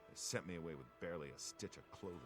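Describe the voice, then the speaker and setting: An actor playing an ashamed, self-pitying robbed husband in a fantasy video game, pleading and aggrieved. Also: male voice, low voice, quick pace, lightly acted. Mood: recounting ruefully. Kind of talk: video game character dialogue. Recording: game audio with music underneath. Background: game music.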